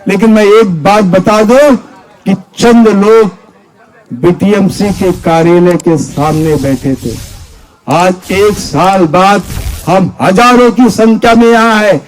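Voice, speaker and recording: A male activist monk speaks at a public rally in a strikingly high voice. His delivery is slow and deliberate, declamatory and impassioned, built on repeated phrases and rhetorical questions put to the listeners. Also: rough recording. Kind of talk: impassioned political rally speech.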